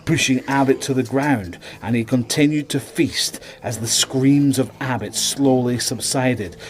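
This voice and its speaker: A man's voice: mid-range voice, a little slow, a little hiss or hum. A man giving dramatic, scripted narration as the storyteller of a horror audio drama. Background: dramatic music.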